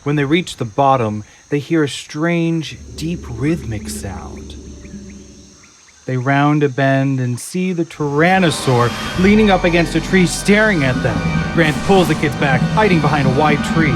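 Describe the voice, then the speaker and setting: A man's voice: medium pitch, lively intonation, a little slow, over music. A man narrating an audio drama in a scripted, dramatic storytelling style.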